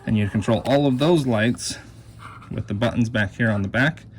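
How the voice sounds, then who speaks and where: A man's voice: medium pitch, normal speed. A man giving a casual, plain and explanatory hands-on product demonstration. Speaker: product reviewer. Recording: clear background noise.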